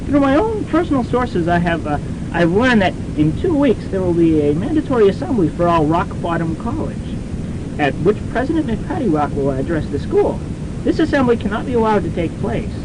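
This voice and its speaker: A man puts on a scheming, fussy English professor in a radio comedy. The voice is high and full of hesitant stammers. The recording is clearly noisy. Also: big pitch swings, a little fast. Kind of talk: campus radio comedy drama acting.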